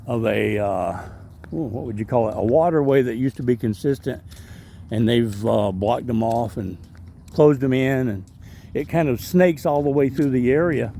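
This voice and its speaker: A man's voice: mid-range voice, moderate pace, vocal fry, warm tone, slight background noise. A middle-aged man chatting casually as he vlogs his walk through an outdoor park, rambling with plenty of ums and asides. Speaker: vlogger and street evangelist. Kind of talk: casual walking vlog narration.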